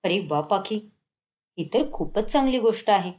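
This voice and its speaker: Cartoon elder daughter-in-law, probing, sarcastic, lively pitch; female performer. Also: moderate pace, lightly acted. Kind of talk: animated moral-story cartoon dialogue.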